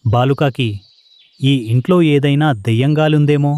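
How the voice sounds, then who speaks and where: A man's voice: on the high side, fairly even intonation, normal speed, darkish tone, no echo, natural voice. A man playing a poor, trusting father pigeon, earnest and anxious, in a children's cartoon. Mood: surprised, hesitant. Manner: earnest, innocent, pleading.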